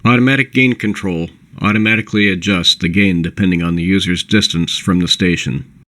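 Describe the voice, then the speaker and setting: A man reading a scripted corporate product presentation in a measured, instructional way. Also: deep voice, fairly bright tone. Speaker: presenter of a company product overview.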